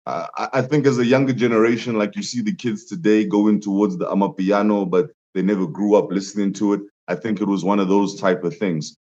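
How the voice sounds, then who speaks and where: A man's voice: on the low side, steady tone, brisk, hardly any pauses, a little mellow. A young man, a musician guest, telling stories animatedly in a casual interview, laughing often and jumping his voice up for quotes. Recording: dull lo-fi sound.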